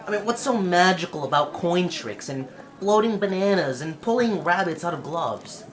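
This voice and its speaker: A woman playing a grumpy, sarcastic junk robot with deadpan insults and stuttering repeats in an audio drama. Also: fairly low pitch, moderate pace, crowd noise, close to an everyday voice. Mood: grumbling. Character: grumpy, sarcastic rusty junk robot who taunts humans. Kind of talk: scripted audio drama acting.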